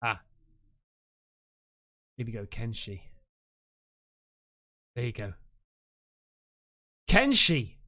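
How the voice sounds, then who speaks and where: A man hosting a live stream, chatting with callers in a chummy, excitable way full of slang. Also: mid-range voice, drawn-out words, pauses often. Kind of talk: casual live chat.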